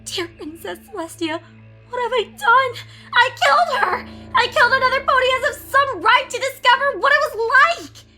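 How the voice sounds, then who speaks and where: A woman voicing a guilt-ridden, paranoid young pony student, reading her confessional letters in an anguished, highly emotional way as she unravels. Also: expressive, moderate pace, faint music behind, full character voice. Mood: anguished, crying. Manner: anguished, highly emotional, swinging from hushed to screaming.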